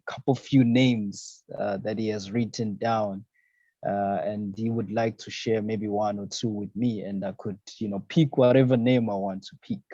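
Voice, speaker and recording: Laid-back man from Zambia, mellow voice. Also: medium pitch, no room sound. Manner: easygoing, many fillers and "you know, man". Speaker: fashion designer, podcast and radio host. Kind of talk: relaxed interview answers. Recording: dull lo-fi sound.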